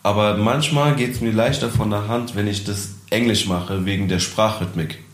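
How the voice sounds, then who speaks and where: A man's voice: fairly low pitch, moderate pace. A man who is a musician guest, talking in a casual, reflective way and laughing easily. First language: German. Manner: reflective, laughs easily, mixes in English words. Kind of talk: casual interview chat. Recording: echoey room, faint noise.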